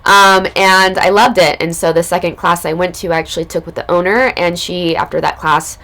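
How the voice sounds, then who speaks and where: A woman recovering from illness, her voice hoarse and raspy, telling a personal spiritual story in a casual, loose way as a podcast host. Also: lowish voice, fairly even intonation, a little fast, fairly bright tone. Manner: casual, loose, spiritual talk with many fillers.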